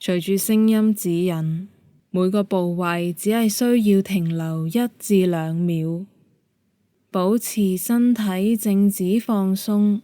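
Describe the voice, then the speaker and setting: A woman with a Hong Kong Cantonese accent leading a guided meditation in a calm, gentle, measured manner. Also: mid-range voice, no room sound. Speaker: yoga nidra meditation guide.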